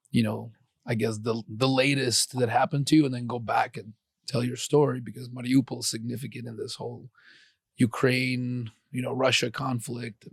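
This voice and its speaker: A man's voice: medium pitch, gravelly, breathy edge, no echo. A man hosting a podcast interview, talking in a loose, encouraging way with lots of fillers and restarts. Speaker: podcast host. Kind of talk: casual interview.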